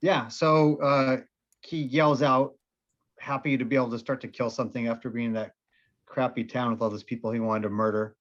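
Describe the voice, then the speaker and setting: Player: nasal man, casual and halting, slightly dull lo-fi sound. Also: mid-range voice, steady tone. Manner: hesitant, lots of "uh" while counting damage. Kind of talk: casual tabletop game talk.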